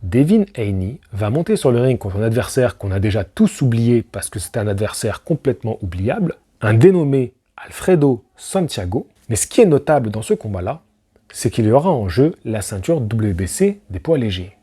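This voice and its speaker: A man's voice: mid-range voice, moderate pace, husky. Casual man talking boxing. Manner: animated, talks to viewer directly, rhetorical questions, slangy asides. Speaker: boxing channel host. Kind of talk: casual boxing analysis monologue.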